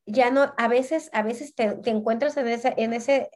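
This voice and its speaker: A woman's voice: on the high side, fairly even intonation, a little slow, darkish tone. A woman, talking animatedly as a guest and often repeating words as she searches for them. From Mexico. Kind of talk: casual conversation.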